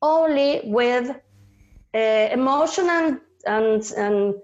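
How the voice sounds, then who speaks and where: Slow-talking Mexican woman with a raised voice. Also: on the high side, muffled recording. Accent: Mexican Spanish. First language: Spanish. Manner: searches for English words, slips into Spanish phrases. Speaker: actress. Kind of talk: podcast interview.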